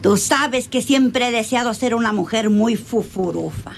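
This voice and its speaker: A woman's voice: a little high, a little slow, creaky. A woman playing a sassy, vain, dreamy bandit's woman in a radio serial, with a rustic, colloquial manner. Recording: noisy old radio serial recording.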